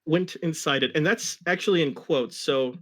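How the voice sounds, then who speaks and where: A man's voice: fairly high pitch, normal speed, a bit bright. A man reading a story aloud in an amused, mock-dramatic style, with casual asides. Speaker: reader of a fan story.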